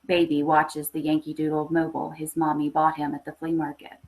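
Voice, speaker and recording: A woman reading a literary horror short story aloud with expressive, scripted narration. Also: sits low, fairly quick, poor audio. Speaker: story reader.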